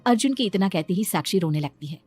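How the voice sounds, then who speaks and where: Female storyteller: scripted narration, mid-range voice, soft music underneath. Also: medium pitch, expressive, quick pace, no room sound.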